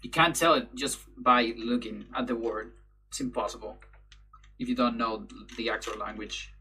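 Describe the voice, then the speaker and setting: Young man, Spanish native speaker, casual streamer chat, bright voice, faint hum behind. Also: mid-range voice, fairly even intonation, normal speed, poor audio. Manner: rambling, self-correcting, laughs easily. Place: bedroom, indoors.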